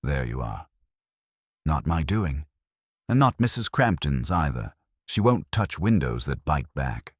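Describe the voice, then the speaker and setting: A man's voice: extremely low pitch, sing-song, unhurried, slightly rough, dry recording, exaggerated voice. A man voicing a weary, grumbling older male caretaker in an audio story, dry, clipped and grudging.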